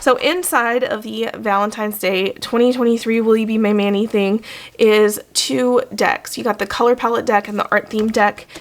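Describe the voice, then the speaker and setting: Chatty woman, slightly croaky from illness. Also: fairly high pitch, a little hiss or hum. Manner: chatty and enthusiastic. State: sick, a little croaky. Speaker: vlogger. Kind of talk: casual product unboxing. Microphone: desk microphone.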